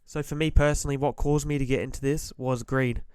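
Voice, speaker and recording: Man, slightly hoarse from a cold, frequent throat clearing, blunt emphatic advice, repeats key points, smooth voice, dry close sound. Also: medium pitch, fairly even intonation, a little fast, darkish tone, dry recording. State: slightly hoarse from being sick. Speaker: forex trader giving advice. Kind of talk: motivational trading-psychology talk to camera.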